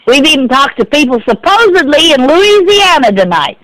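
Exasperated middle-aged woman, high and sharp voice, dry phone recording. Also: big pitch swings, normal speed, dry recording, poor audio. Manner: exasperated, insistent, repeats herself. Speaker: prank call victim. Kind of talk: phone conversation.

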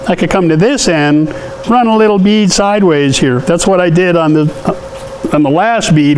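A man in his fifties explains a hands-on technique in a relaxed, conversational tutorial style. His voice sits a little high for a man, and he talks in a folksy, plainspoken way. It is recorded in an indoor workshop with faint noise behind him. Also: poor audio. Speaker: artist and welding tips host. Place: indoor cluttered metal workshop.

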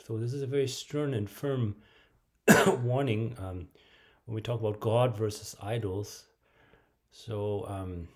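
A middle-aged man preaching a home video sermon and reading scripture aloud, in a measured way with frequent ums, in a bright room at home. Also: on the low side.

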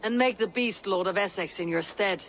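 An actress playing a stern, dry-witted Norse warrior in a video game, speaking in measured, terse phrases. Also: female voice, medium pitch, natural voice. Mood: wry, firm. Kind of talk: video game cutscene acting. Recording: reverberant, with ambient noise behind.

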